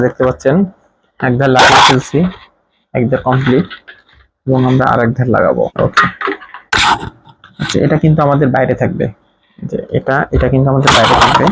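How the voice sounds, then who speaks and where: A man's voice: mid-range voice, slight background noise, rough recording. A man with a Bangladeshi accent casually explaining an installation step by step as a product reviewer, often repeating himself. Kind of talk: hands-on installation tutorial, casual spoken demonstration.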